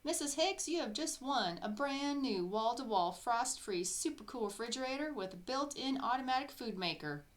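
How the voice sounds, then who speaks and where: A woman voicing an excitable, salesy prize announcer on the telephone in a storybook. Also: on the high side, moderate pace, few pauses, voice pushed up, natural voice. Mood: excited.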